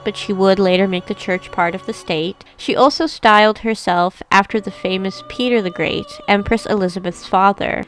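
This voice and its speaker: A woman hosting a history channel, reading a prepared script in a steady, measured way while still getting over a cold. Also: medium pitch. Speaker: host of a history video channel.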